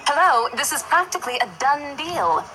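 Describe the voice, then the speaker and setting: A woman voicing a playful, bossy senior saleswoman in a visual novel, with a brisk, teasing manner. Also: sits high, animated, noisy background. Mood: playful, coaxing.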